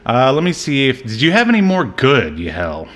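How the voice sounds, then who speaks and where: A man's voice: medium pitch, wildly swooping pitch, normal speed, a bit bright. A man co-hosting a film podcast, chatting casually in a hesitant, rambling, joking way. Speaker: podcast co-host reviewing a film. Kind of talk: casual film-review chat.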